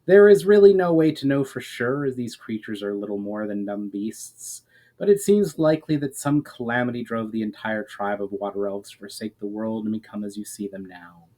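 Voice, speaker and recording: A man voicing a grave, ancient elf in a fantasy storybook reading, speaking in a measured, formal, explanatory way. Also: fairly low pitch, lively intonation, dark tone, close to an everyday voice. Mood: solemn.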